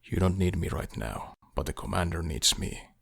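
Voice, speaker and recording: A man acts out a devoted lover and loyal soldier from a fantasy audiobook. His voice is deep and hushed, with an earnest, measured delivery. The sound is dry, without room echo. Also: expressive, creaky, husky, full character voice. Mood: tender, resigned. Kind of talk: audiobook character dialogue.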